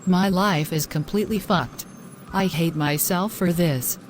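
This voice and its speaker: A woman's voice: lowish voice, measured pace, slight background noise, close to an everyday voice. A woman playing a distraught, self-reproaching young woman in a scripted moral-story drama. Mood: self-loathing, anguished.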